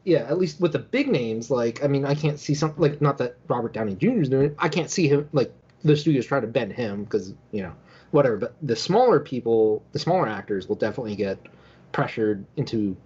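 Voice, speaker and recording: A man's voice: mid-range voice, lively intonation, brisk, a little mellow, slightly rough, muffled recording. A man speaking as a panelist in a casual podcast discussion.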